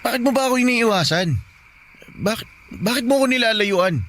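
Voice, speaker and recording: A man's voice: very high-pitched, animated, fairly quick, fairly bright tone. An actor playing a persistent lovestruck man, insistent and stammering with emotion in a Filipino radio romance drama. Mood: hurt, pleading. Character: persistent, pleading lovestruck man courting his sister-in-law.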